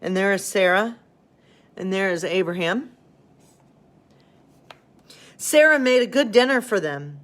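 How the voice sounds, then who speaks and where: A woman's voice: medium pitch, measured pace, pauses often, a bit bright. A woman pastor speaking informally and a bit ramblingly to her listeners as friends, chatting and reading children's bedtime stories. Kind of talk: casual chat and storybook reading for children.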